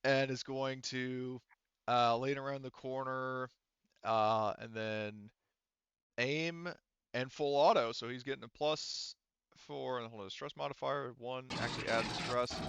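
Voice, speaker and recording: A man's voice: medium pitch, a bit bright, clear, resonant tone, muffled recording. A man acting as game master in a tabletop game, casually narrating and explaining rules while thinking aloud.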